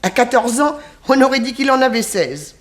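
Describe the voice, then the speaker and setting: Actress playing a kindly old nanny, wistfully reminiscing. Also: female voice, fairly high pitch, lively intonation, moderate pace, close to an everyday voice. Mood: chatty, proud. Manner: homely, reminiscing, sighing exclamations. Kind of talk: 1960s French radio detective drama acting.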